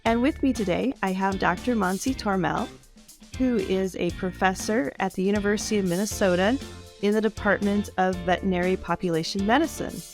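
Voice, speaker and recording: Easygoing lady podcast host, mid pitch, soft guitar music behind. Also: brisk. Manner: friendly, easygoing introductions. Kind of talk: podcast interview.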